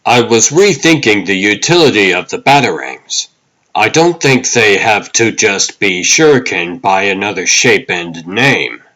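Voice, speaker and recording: A man's voice: lowish voice, animated, unhurried, sharp tone, rough recording. A man playing a driven, serious vigilante hero in a comic dub, speaking earnestly. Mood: driven, hopeful.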